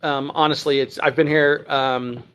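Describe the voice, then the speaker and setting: A man from Texas who speaks standard American, hosting a casual phone livestream from home and chatting through viewer comments with many ums and throat clears. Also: on the high side, fairly even intonation, moderate pace, fairly bright tone. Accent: none, trained standard American. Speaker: livestream host. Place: at home near Dallas.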